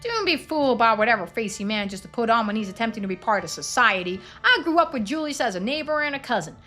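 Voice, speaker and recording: A woman performs a stern, judgmental matron from a story game. The tone is sharp and somewhat high, bitter and bossy, with faint music underneath. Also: animated, fast talker. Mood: scornful. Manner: blunt, judgmental, commanding. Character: stern, bitter, God-fearing small-town matron.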